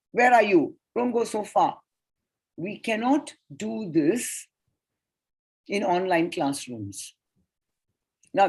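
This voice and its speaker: A woman whose first language is Tamil, presenting an academic paper at an online conference in a measured, deliberate way. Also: mid-range voice, darkish tone, breathy edge. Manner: measured, deliberate lecturing with enumerated points.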